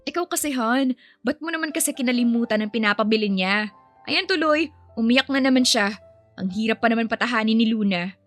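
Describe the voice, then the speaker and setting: Casting: woman as an affectionate but exasperated young wife in a story, high and emphatic, music behind. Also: animated, fairly quick, lightly acted. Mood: chiding. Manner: scolding, emphatic. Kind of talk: audio story dialogue.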